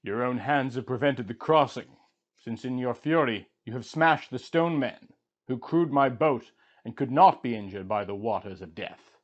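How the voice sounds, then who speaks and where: A man voicing a stern, practical boatman with measured, instructing delivery in an epic story. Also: mid-range voice, animated, highs cut off, close to an everyday voice. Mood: reproving, practical. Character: stern, practical boatman, servant of a distant sage.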